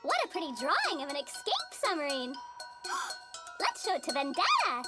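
A woman's voice: extremely high pitch, wildly swooping pitch, moderate pace, exaggerated voice. A woman voicing a relentlessly cheerful, naive and sweet little girl in a children's cartoon, with a gushing, sing-song, oblivious delivery. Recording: cartoon soundtrack with jingly music behind.

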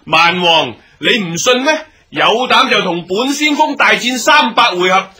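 A brash, taunting battlefield officer in a radio play, speaking with a Hong Kong Cantonese accent. His voice is high and very piercing, declaimed with mockery. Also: expressive, a little slow, bright, forceful tone, heavily acted. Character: cocky, taunting male vanguard officer in battle. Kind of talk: Cantonese radio drama acting.

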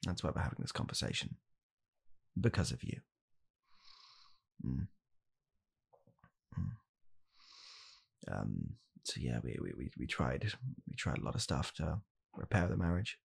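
A young man playing a blunt, callous father in an ASMR comedy roleplay, speaking softly and haltingly in a deadpan way. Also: extremely low pitch, gravelly, breathy edge, full character voice. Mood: deadpan, bleak. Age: young adult. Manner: soft-spoken, halting, deadpan. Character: blunt, callous father calmly blaming his child. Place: small home room, indoors.

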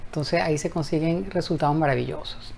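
A woman's voice: deep voice, creaky, darkish tone, a little hiss or hum. A woman in her fifties giving a casual mantra lesson, talking into her phone camera in her apartment bedroom. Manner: talkative, frequent self-restarts, slow chanting of mantra. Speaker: mantra teacher. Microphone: phone camera at close range.

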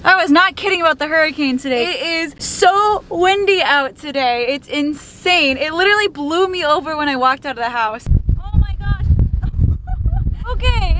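A woman's voice: high-pitched, background noise. A young woman chatting playfully to a handheld camera as a vlogger, walking around a concrete basement. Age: young adult. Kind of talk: casual vlog narration. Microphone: handheld camera at arm's length. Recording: echoey basement with faint music.

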